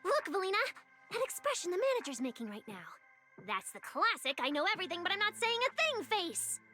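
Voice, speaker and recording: A woman voicing a spirited young woman in a video game, who teases quickly. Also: very high-pitched, heavily acted. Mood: teasing. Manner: quick, teasing and animated. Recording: game audio under music.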